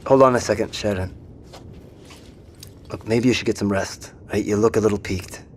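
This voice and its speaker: A man playing a practical survivor who coaxes a wounded companion, steady and level-headed. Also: lowish voice, moderate pace, a little hiss or hum, lightly acted. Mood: coaxing. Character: dry, practical British male survivor companion. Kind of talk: audio drama acting.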